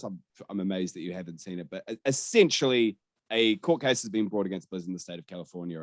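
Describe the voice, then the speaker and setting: A man's voice: fairly low pitch, fairly bright tone, no room sound. A man hosting a gaming podcast, talking through the news in a candid, sweary, conversational way. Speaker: podcast host.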